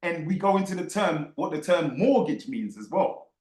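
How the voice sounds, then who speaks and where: A man's voice: fairly high pitch, lively intonation, normal speed, darkish tone, roomy sound. A man hosting a live online group discussion, speaking emphatically and insistently and repeating phrases for effect. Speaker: host of an online book club discussion.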